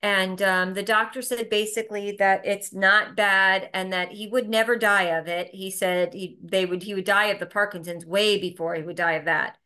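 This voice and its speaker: A woman's voice: mid-range voice, fairly even intonation, moderate pace, hardly any pauses, bright, forceful tone. A woman speaking as an interview guest in casual conversation, emphatic, often restarting and repeating words.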